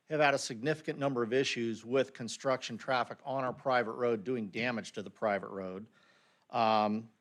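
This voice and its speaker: A man's voice: medium pitch, a bit bright. A man speaking unscripted at a public hearing as a local resident, plainspoken, with frequent ums and uhs. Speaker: resident giving public comment.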